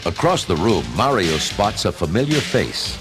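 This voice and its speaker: A man's voice: lowish voice, very animated, normal speed. A man giving dramatic scripted narration as the narrator of a radio play. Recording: music behind the voice.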